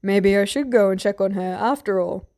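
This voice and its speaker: A woman voicing a gruff middle-aged male warrior who grumbles but is tender and fatherly. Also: medium pitch, normal speed, warm tone, close to an everyday voice. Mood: gruff, fond. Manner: grumbling, rough-spoken, affectionate.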